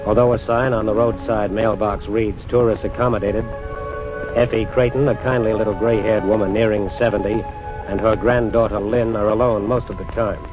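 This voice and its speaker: A man narrating a 1940s radio crime drama in a measured, serious tone. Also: fairly low pitch, fairly even intonation. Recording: dull 1940s radio recording with music.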